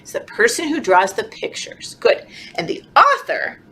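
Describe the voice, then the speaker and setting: A woman leading a children's story time, reading aloud in an animated, encouraging, sing-song way, as if talking to toddlers. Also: a little high, normal speed. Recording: clearly noisy background.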